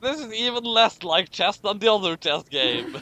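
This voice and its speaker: A man's voice: high voice, big pitch swings, moderate pace, bright, forceful tone, no room sound. A man reading a story aloud and commenting on it casually as he goes. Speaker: co-host reading fan fiction aloud with commentary. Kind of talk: amused read-aloud commentary and casual chat.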